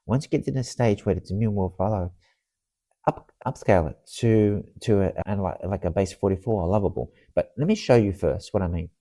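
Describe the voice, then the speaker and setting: The man at the next desk with a head cold, talking you haltingly through an app on his screen, rough and fairly low, close and dry with no room around him. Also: mellow, dry recording. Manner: rambling, halting, restarts sentences and repeats words. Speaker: software demo presenter for marketers. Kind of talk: casual screen-share walkthrough, unscripted.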